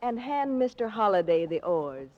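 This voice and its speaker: Commanding young female schemer played by a woman, medium pitch, composed, slyly mocking, imperious, vintage radio drama, dull recording. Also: sing-song, normal speed, lightly acted. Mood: cold, commanding. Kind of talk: 1940s radio drama acting. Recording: muffled 1940s radio recording.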